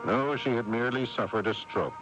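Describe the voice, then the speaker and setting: A man playing a weary, responsible brother in a radio drama, measured and reflective, exasperated with his family. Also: over music, close to an everyday voice. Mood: brooding. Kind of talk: radio drama acting with first-person narration. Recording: noisy rumbling AM radio recording.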